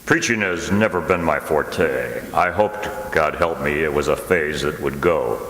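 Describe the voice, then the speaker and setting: A man acting in a radio drama as a grave, dutiful older clergyman, earnest, measured and admonishing. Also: a bass voice, a bit bright, full character voice. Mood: grave pleading. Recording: echoey hall with faint noise.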